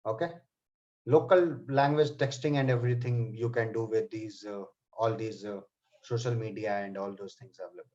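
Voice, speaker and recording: A man teaching software testing in a live online class. He speaks to students by name and keeps checking in with "okay" and "right". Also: lowish voice, steady tone, moderate pace. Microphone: computer mic on a video call. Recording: slightly dull online call audio.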